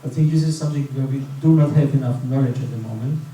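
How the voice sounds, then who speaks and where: A man's voice: medium pitch, quick pace, mellow. A man, answering as a panelist with many restarts and fillers. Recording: echoey hall, distant mic, faint noise.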